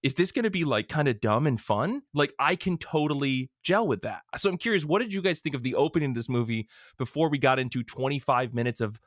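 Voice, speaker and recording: A man's voice: mid-range voice, expressive, fast, few pauses, dry recording. A man hosts a chatty podcast with a jokey, rambling delivery, often stopping and restarting his sentences. His voice sits in an ordinary middle register. The sound is dry and close. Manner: jokey, rambling, self-interrupting. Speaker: podcast host. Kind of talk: casual chat.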